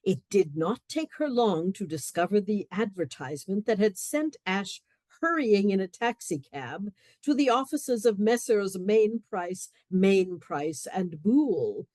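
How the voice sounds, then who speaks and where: A woman's voice: medium pitch, lively intonation, measured pace, warm tone, dry recording. A woman reading scripted story narration as the narrator of a radio play.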